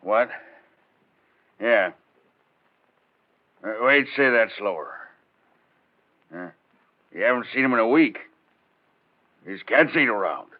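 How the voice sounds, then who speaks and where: An actor playing a gruff, slangy police desk captain in 1940s radio style. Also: male voice, lowish voice, lively intonation, slow pace, pauses often. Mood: groggy, alerted. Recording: very muffled 1940s radio recording.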